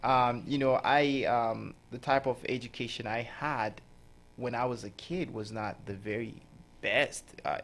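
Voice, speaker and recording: A man's voice: medium pitch, steady tone, measured pace, a bit bright. Man, hesitant guest. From Nigeria. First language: Hausa. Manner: laughs often, hesitant with many fillers. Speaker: radio guest. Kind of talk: casual radio interview.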